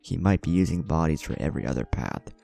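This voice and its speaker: A man's voice: very deep voice, lively intonation, quick pace, vocal fry, dark tone, faint music behind, heavily acted. A man voicing a cautious, powerful young male ninja who explains things in a matter-of-fact way. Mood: calm.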